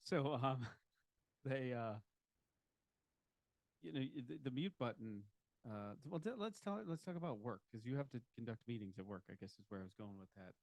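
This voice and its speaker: A man's voice: medium pitch, animated, moderate pace, long pauses, no echo. Man, podcast co-host, rambling, jokey, stops and restarts, dry close mic. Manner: rambling, restarts often, joking. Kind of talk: casual chat. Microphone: home podcast mic, video recorded.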